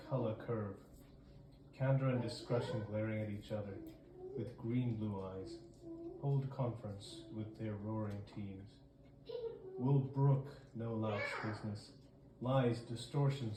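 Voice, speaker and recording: A man reading poetry aloud as an amateur visiting reader, on a noisy, echoey recording. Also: on the low side.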